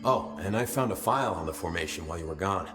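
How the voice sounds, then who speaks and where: A man's voice: low voice, expressive, fast talker, over music. A man acting as an easygoing, blunt security officer who swears casually and talks wryly, in a video game. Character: easygoing, foul-mouthed male security officer, blunt and wry.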